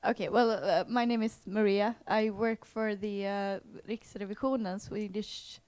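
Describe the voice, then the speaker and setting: A woman's voice: mid-range voice, fairly even intonation, a little slow, darkish tone, no echo. A woman taking part in a meetup introduces herself in a casual, conversational style. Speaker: meetup participant, audit institution employee.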